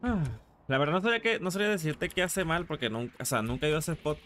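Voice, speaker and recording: A man's voice: fairly high pitch, very animated, fast, fairly bright tone, a little hiss or hum. A man with a Latin American Spanish accent chatting casually on a live gaming stream, informal and slangy, with some casual swearing. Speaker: game streamer.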